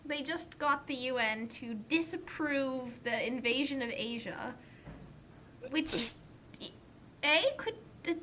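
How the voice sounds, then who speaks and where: Piercingly bright young woman, noisy mic. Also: slow pace. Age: young adult. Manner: exclamatory, stammering, incredulous. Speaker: co-commentator. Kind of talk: casual, reactive commentary chat. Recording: noisy microphone with low rumble.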